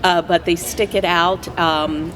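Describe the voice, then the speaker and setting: A woman's voice: on the low side, steady tone, slow, fairly bright tone. An American woman speaking conversationally as a guest in a casual interview. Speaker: interview guest, play director. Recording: faint background noise.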